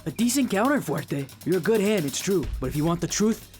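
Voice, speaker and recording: An actor playing a brash, prickly young rookie wrestler in an audio drama, cocky and sneering. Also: male voice, sits high, lively intonation, brisk, darkish tone, soft background music. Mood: taunting, combative.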